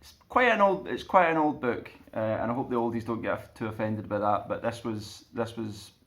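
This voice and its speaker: A man hosting a storytime and reading aloud for children in an expressive storyteller's style. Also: mid-range voice, normal speed. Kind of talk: casual intro and slow expressive storybook narration.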